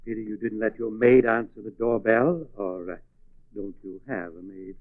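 Shifty aging small-town undertaker, acted by a man, low and oily, evasive, old radio mystery with dry telephone-thin audio. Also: normal speed, dry recording, lightly acted. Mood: slyly insinuating. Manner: unctuous, hesitant, insinuating. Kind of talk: 1940s radio acting. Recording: muffled 1940s radio recording, phone-like band.

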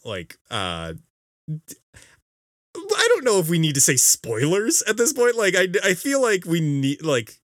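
A man co-hosting a casual video game podcast, talking emphatically with halting explanations and exasperated teasing. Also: high voice, huge pitch swings, a bit bright, no room sound, studio quality. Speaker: podcast co-host.

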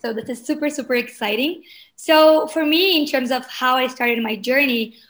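Young woman, higher-pitched voice. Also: normal speed, a bit bright. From Porto Alegre, southern Brazil. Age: 20s. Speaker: podcast guest, climate justice advocate. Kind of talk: conversational interview answers.

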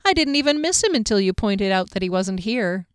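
A woman's voice: mid-range voice, sing-song, fairly quick, smooth, no room sound, studio quality, lightly acted. A woman voicing a playful young woman fighter in an audiobook, breezy, sarcastic and mock-cheerful. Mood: playful, teasing.

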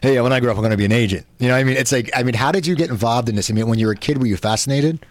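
A man's voice: medium pitch, rapid-fire, no echo. A man hosting a casual radio talk show, rambling, with frequent stammered restarts. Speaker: host.

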